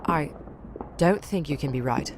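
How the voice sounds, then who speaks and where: A man's voice: fairly high pitch, animated, a little slow, background noise, voice pushed up. A man voicing a young female military adjutant, formal, clipped and uneasy, in a dramatic audiobook style. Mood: formal, guarded.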